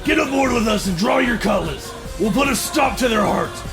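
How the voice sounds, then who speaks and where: A man as a very high, creaky villainous pirate captain. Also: lively intonation, moderate pace, loud music behind the voice, exaggerated voice. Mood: urgent, commanding. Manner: barking orders, urgent. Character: ruthless, bossy rival pirate captain, excitable villain. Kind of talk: fully voiced pirate audio drama.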